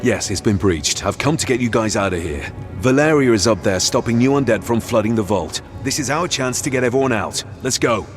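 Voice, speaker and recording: An actor playing a blunt, practical young warrior hero, speaking plainly and directly in a game cutscene. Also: male voice, medium pitch, expressive, a little fast, faint music behind, lightly acted. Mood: determined. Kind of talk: video game cutscene voice acting.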